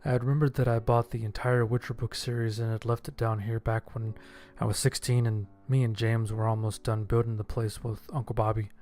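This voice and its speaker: A man's voice: medium pitch, little pitch movement, brisk, few pauses, darkish tone, faint music behind. A man reading a scripted first-person horror story as its narrator. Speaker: audiobook narrator. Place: voice-over with background music.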